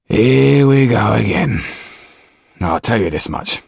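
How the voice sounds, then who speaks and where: A man's voice: medium pitch, lively intonation, takes it slowly, vocal fry, slightly rough, dry recording, clean studio sound, voice pushed up, exaggerated voice. A man voicing a resentful coachman in an audiobook, grumbling and sarcastic.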